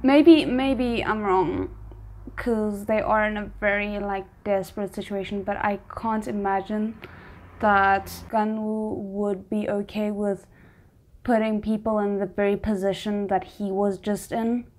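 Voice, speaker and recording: A woman giving casual reaction commentary, thinking aloud in an expressive way. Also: mid-range voice, measured pace. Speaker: reaction video host.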